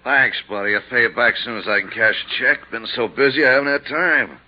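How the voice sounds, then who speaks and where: An actor playing an easygoing investigator's helper in 1950s radio style, breezy and familiar. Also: male voice, medium pitch, fairly quick, natural voice. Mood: relaxed, chummy. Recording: muffled old radio recording.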